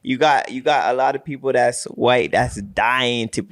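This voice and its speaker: A man chatting casually and telling an anecdote in a halting, repetitive, slangy way. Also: mid-range voice, fairly bright tone. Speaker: podcast panelist.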